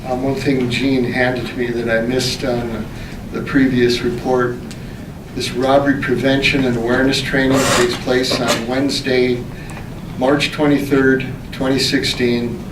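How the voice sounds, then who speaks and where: A man's voice: medium pitch, level tone, measured pace. A man chairing a city council meeting as mayor, formally reading reports aloud and moving through the agenda. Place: indoor council meeting room. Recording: echoey meeting room, distant mic, background noise.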